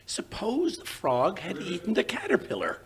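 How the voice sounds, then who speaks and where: A man playing a flustered, bumbling English gentleman in an old-time radio drama, blustery and hesitant. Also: high-pitched, huge pitch swings, normal speed, a crowd in the background, exaggerated voice.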